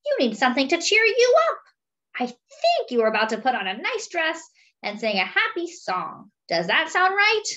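A woman's voice: high voice, wildly swooping pitch, moderate pace, sharp tone, voice pushed up, heavily acted. A woman voicing a small, sly monkey trickster in a children's picture book, giggling teasingly before making suggestions. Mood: teasing, suggesting.

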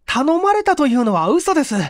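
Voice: high voice, sing-song, fairly quick, no room sound, studio quality, pitched above the performer's own voice. A performer voicing a nervous, cornered male clerk in an audiobook, stammering and flustered as he pleads innocence. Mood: panicked.

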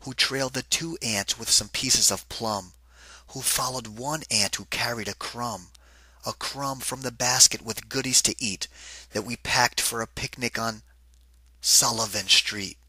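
A man giving an interactive children's read-aloud in a deliberate, singsong rhythm, like a teacher with a picture book. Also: mid-range voice, bright, forceful tone, slightly rough, dry recording, rough recording.

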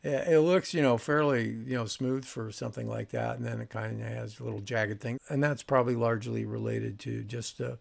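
A man's voice: mid-range voice, fairly even intonation, a little fast, creaky, few pauses. A man in his sixties hosting a casual, unscripted product review in a matter-of-fact way. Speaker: host of vintage audio review.

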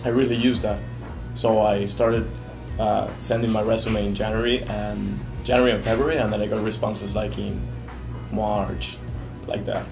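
A man's voice: lowish voice, little pitch movement, normal speed, creaky. A man in his twenties with a Venezuelan accent and Spanish as first language, giving an interview as a graduate student. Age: 20s. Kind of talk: interview testimonial. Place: small indoor meeting room. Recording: roomy echo, music underneath.

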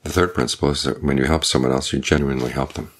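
An older man, a Buddhist monk, giving a calm, measured evening Dhamma talk with unhurried pauses. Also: a bass voice. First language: English.

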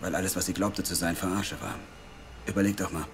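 An earnest journalist who keeps asking pointed questions, voiced by an actor. He sounds fairly low and steady. He turns defensive when he is teased. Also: a little fast, close to an everyday voice. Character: earnest, lovestruck male journalist, probing. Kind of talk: audio drama acting.

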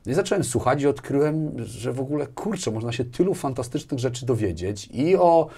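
A middle-aged man with a mid-pitched voice chats as a guest in an interview. He talks casually and repeats words while he searches for the next thought. He is recorded on a lapel mic in a small indoor studio. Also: brisk, breathy edge. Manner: animated hand gestures, repeats words as he thinks.

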